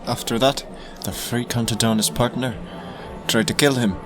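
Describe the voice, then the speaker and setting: A man's voice: medium pitch, fairly even intonation, normal speed, over music, lightly acted. A man playing an affable, chatty fantasy priest who works his congregation with casual menace. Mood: grim relish. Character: smooth, folksy deacon priest working his congregation.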